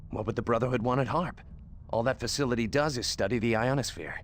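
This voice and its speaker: A man's voice: medium pitch, fast, a little hiss or hum, lightly acted. A man playing a no-nonsense young hero team leader in a game cutscene, direct and skeptical. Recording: music behind the voice.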